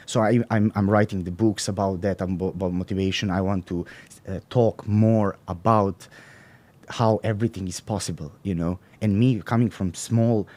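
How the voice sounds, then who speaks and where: A man's voice: low voice, fairly even intonation, a little mellow. A young adult man with a Serbian accent, talking as an interview guest, often stopping and restarting as he searches for words. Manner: halting, searching for words, many fillers and restarts. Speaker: guest, professional bodybuilder. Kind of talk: casual interview talk.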